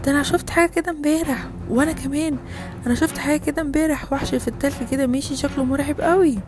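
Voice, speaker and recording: A woman's voice: sits high, steady tone, racing, over music, close to an everyday voice. A woman voicing a worried, gossipy townsfolk parent with an Egyptian accent in a children's story. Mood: worried, gossiping. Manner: anxious, gossipy. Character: alarmed, gossiping townsfolk parent spreading monster rumours.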